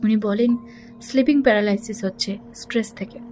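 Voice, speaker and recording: A woman playing an educated young heiress in an audio drama, recounting her troubles in a measured, confiding way. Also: mid-range voice, fairly even intonation, warm tone, soft background music, lightly acted, poor audio. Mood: troubled. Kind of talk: Bengali audio drama acting.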